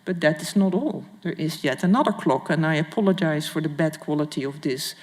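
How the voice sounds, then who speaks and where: A woman's voice: low-pitched, darkish tone. Woman lecturing in a reverberant hall. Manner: formal, halting, careful phrasing. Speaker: museum curator and guest lecturer. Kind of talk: public art history lecture. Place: large indoor lecture hall with audience.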